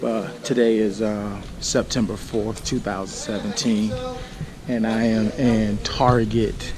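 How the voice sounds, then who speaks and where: A man in his thirties with a slightly scratchy voice, chatting casually to his handheld camera as a vlogger inside a large store, relaxed and full of fillers. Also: lowish voice, slow, a crowd in the background. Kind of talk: casual vlog talk to camera. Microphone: handheld action camera at arm's length. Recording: noisy handheld camera audio.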